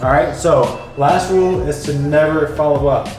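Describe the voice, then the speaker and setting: A man's voice: a little high, normal speed, reverberant, faint music behind. Stuffy-voiced man teaching at a whiteboard. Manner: energetic, direct, repeats "okay?" to check in. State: stuffy from a cold. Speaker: business coach. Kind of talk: whiteboard tutorial. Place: bright office by a whiteboard.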